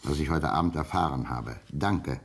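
A man's voice: extremely low pitch, moderate pace, husky, exaggerated voice. An older man playing a proud, principled father-in-law in a radio play, speaking in a dignified, formal and protesting manner. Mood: humbled, formal.

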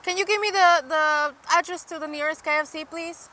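A young woman co-hosting a vlog in casual, polite talk. Her voice is high and bright. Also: fairly even intonation, fairly quick, a little hiss or hum. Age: 20s. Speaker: vlog co-host.

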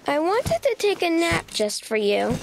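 A woman's voice: very high voice, wildly swooping pitch, a little slow, creaky, noisy background, full character voice. A woman voicing a sweet, eager little animal child who tells a storybook tale in a simple, earnest, childlike manner. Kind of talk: read-aloud children's storybook narration.

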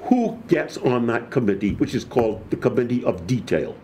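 Older guy, professor type, lots of restarts, lively pitch, faint hiss behind. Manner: halting, restarts often, animated. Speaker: political science professor guest. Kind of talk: conversational history discussion.

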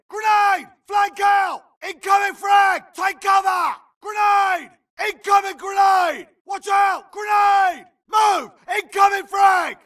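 A man's voice: very high-pitched, fairly bright tone, recorded from a distance. A man voicing an urgent, tense infantry soldier barking clipped combat callouts for a video game. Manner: clipped military radio callouts.

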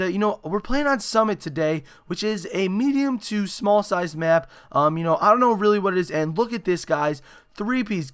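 A young man with a hoarse, raspy voice, giving excitable gameplay commentary full of "you know" and "uh". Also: sits high. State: hoarse after a concert. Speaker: gaming channel host. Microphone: desktop microphone.

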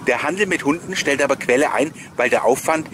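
A man's voice: mid-range voice, fairly quick. Man chatting informally over noticeable background noise. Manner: informal, rambling, often chuckling. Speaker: host of a collectors' nostalgia video channel. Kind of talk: chatty voice-over commentary with personal anecdotes.

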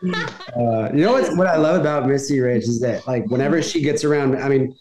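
A man's voice: mid-range voice, fairly quick, background noise. A man in his thirties from Texas, without a Southern accent, chatting casually as a podcast guest, laughing often and rambling with restarts over a video call. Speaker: podcast guest, retired soccer player. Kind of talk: casual chat. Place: small plain room indoors. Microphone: video call, laptop camera. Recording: roomy video call audio.

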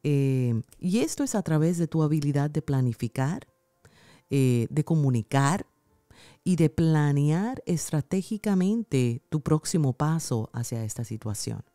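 Low, dark-voiced woman reading tarot. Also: animated, measured pace, no room sound, clean studio sound, soft background music. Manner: gentle, affectionate, speaks to viewer directly. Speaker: tarot reader. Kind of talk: weekly horoscope tarot reading. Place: indoor room, at a card table.

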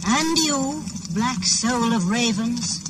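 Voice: medium pitch, lively intonation, slow. A performer in a 1980s radio play chanting riddles, curses and pleas to the spirits. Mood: pleading. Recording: noisy old radio play recording.

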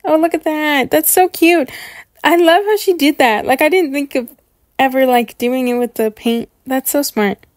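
Casting: female reaction host, high voice, excitable and gushing, chatty delivery, dry close sound. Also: moderate pace, no room sound. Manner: gushing, excitable, repeats words for emphasis. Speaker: host of a reaction video series. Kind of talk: casual chatty reaction commentary.

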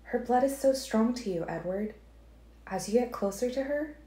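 A woman voicing a calm, foreseeing young woman who speaks in an implacable way. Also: fairly high pitch, normal speed, pitched above the performer's own voice, lightly acted. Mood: insistent. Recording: roomy echo.

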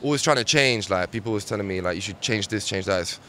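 A man's voice: lowish voice, fast, bright, forceful tone. A man in his thirties speaks in a laid-back, reflective way into a handheld mic on a city street. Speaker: interviewee. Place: busy city street outdoors. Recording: outdoor street noise behind.

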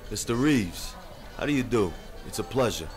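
A man's voice: fairly low pitch, expressive, moderate pace, close to an everyday voice. A man playing a fat, jovially menacing gangster boss in an old-time radio drama. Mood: menacingly genial. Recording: party crowd and music behind.

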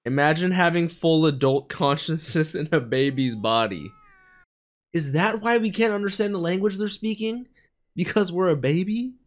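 Excitable young man with a raspy, sick voice. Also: on the high side, normal speed. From United States. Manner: excitable, chatty, laughing often. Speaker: anime reaction streamer. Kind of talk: casual anime reaction commentary. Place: small bedroom studio with neon lights. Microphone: studio mic on boom arm, headphones.

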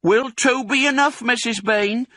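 A man voicing a big, slow-witted young farm woman working as a servant. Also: very high voice, pushed and bright, no echo, clean studio sound, voice pushed up, heavily acted. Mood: plodding.

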